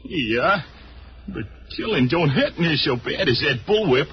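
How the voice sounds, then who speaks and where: A man's voice: fairly high pitch, expressive, measured pace. An actor playing a gleefully murderous, fawning henchman with childlike eagerness in an old radio western. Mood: pleading, fawning. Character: gleefully murderous, servile male outlaw henchman. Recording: dull, noisy old radio recording.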